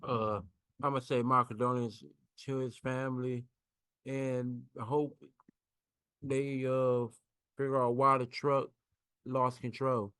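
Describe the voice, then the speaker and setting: Slow, flat-voiced man, smooth tone. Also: medium pitch, no echo. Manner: brief, measured remarks. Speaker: talk show panelist.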